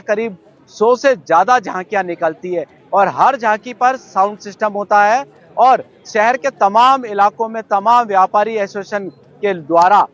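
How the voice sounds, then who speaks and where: A man's voice: high-pitched, fairly bright tone. A male news correspondent giving an unscripted live report in formal, repetitive phrasing. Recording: dull, band-limited live link.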